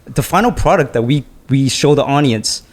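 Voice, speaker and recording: A man's voice: medium pitch, animated. A man co-hosting a podcast, framing questions casually with frequent restarts. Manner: halting, restarts often while framing questions. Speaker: podcast co-host.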